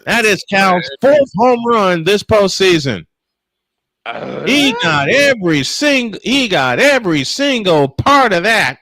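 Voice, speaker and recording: A man's voice: high voice, very animated, slow pace, sharp tone. An American man doing live baseball play-by-play mixed with casual banter. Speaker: play-by-play commentator.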